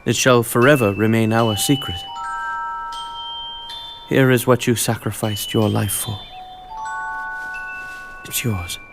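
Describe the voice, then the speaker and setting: Slow, grieving young gentleman. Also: lowish voice, fairly even intonation. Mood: solemn, mournful. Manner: halting, subdued, solemn. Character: grieving young gentleman, soft-spoken and guilt-ridden. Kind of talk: animated game cutscene acting. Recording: music behind the voice.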